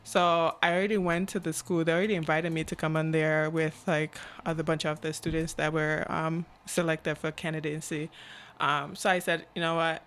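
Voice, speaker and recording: A woman from Cameroon in her twenties, a podcast guest talking casually and reflectively, often checking in with "right?" and pausing on "um". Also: low-pitched, flat delivery, fairly bright tone. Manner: reflective, frequent "right?" and "um" fillers. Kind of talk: casual interview chat.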